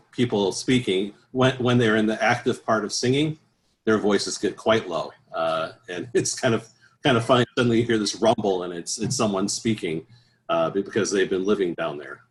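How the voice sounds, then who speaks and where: A man with a fairly low speaking voice talks in an easygoing, halting way. He restarts often and fills pauses with soft sounds while he explains things. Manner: easygoing, many restarts and "uh"s, chuckles at his own stories. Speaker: choral bass singer, guest. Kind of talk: relaxed interview answers.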